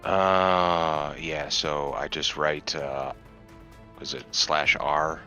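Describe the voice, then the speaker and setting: A man with a very deep, forceful voice takes his turn in a tabletop roleplaying game. He talks casually at a slow pace, working through his choices aloud. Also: level tone, soft background music.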